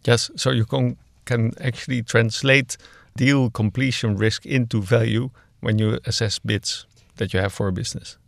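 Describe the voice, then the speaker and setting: A man's voice: medium pitch, fairly even intonation, normal speed, vocal fry, no echo. A man whose first language is Dutch, hosting a studio podcast and asking polite, structured questions. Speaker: podcast host. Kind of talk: hosted podcast interview.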